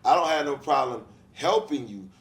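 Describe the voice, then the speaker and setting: A man's voice: mid-range voice, lively intonation. Earnest, rambling man in an echoey room. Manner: rambling, halting restarts, earnest. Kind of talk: casual podcast conversation.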